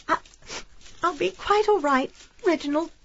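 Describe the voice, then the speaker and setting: A devoted young-lady secretary type in a period radio mystery. Her voice is high and meek, always deferential to her employer. The sound is a little dull. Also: a little slow, gravelly, a bit bright, exaggerated voice. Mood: meek, hurt. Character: meek, devoted female secretary, eager to please. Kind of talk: audio drama acting, period mystery.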